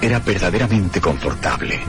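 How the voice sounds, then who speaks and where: An actor playing a reflective gentleman who narrates a mystery in a measured, literary radio drama style. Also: low voice, animated, over music. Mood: reflective, grave. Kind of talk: scripted first-person radio drama narration.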